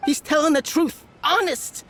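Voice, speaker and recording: A man's voice: very high-pitched, normal speed, pushed and bright, soft background music. A man voicing an anxious, pleading small-time smuggler in an anime game. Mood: pleading, resigned.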